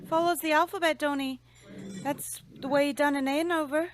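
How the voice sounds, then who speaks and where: A high, thin young waitress acted by a woman speaks with timid deference and a frightened tremble. It is old-time radio drama with working-class English grammar, over faint noise. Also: fairly even intonation, moderate pace, natural voice. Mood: anxious.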